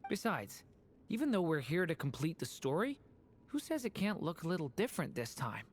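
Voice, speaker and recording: A light, youthful voice, earnest and gentle. The delivery is sincere, encouraging game drama, with faint noise behind it. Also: male voice, a little high, very animated, fairly quick. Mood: earnest, warm. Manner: earnest, soft-hearted, reassuring. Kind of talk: dramatic video game voice acting.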